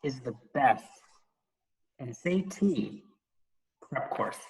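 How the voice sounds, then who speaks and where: Male host, mid-range voice, conversational but emphatic, using deliberate dramatic pauses. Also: medium pitch, expressive, rough recording. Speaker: interview host. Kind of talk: conversational interview.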